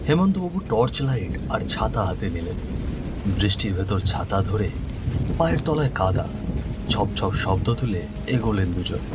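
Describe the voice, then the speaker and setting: A man's voice: on the low side, heavy background noise. A man narrating a scripted horror cartoon story in a suspenseful, descriptive way.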